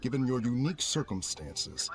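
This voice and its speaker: Stern, formal official. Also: male voice, mid-range voice, very animated, loud music behind the voice. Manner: formal, measured. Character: stern, formal, fair-minded official passing judgment. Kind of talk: anime video game dialogue.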